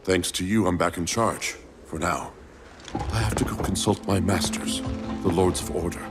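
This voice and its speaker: Man as a deep, grave sorcerer. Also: steady tone, close to an everyday voice. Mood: grave, troubled. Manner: formal, serious, measured wording. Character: grave, weary male sorcerer freed from possession. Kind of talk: video game cutscene acting. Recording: voice under loud music.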